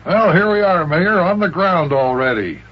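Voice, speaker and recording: A man acting in a 1950s children's radio serial as a friendly grown-up companion, with a folksy, expressive delivery. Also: sits high, moderate pace. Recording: dull, noisy old radio recording.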